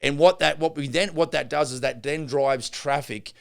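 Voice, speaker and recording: A man's voice: on the high side, moderate pace, creaky, clean tone. A middle-aged man and builder hosting a business podcast, talking off the cuff and rambling through restarts and fillers. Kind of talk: unscripted business podcast monologue.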